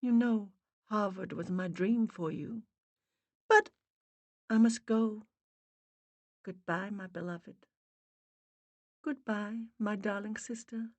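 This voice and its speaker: A woman's voice: medium pitch, slow pace, long pauses, a little mellow, dry recording, pitched above the performer's own voice, lightly acted. A woman voicing the tender spirit of a dead mother, with a Boston accent, faint and muffled.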